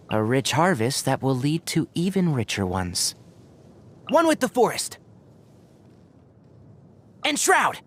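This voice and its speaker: A man's voice: a little high, fairly bright tone, full character voice. A man voicing a young fox-eared forest ranger and scholar in a game, sensible and dryly sardonic, articulate and wry. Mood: calm, measured, then battle-ready, forceful. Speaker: voice actor for a game character. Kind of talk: scripted game character voice lines. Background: background music.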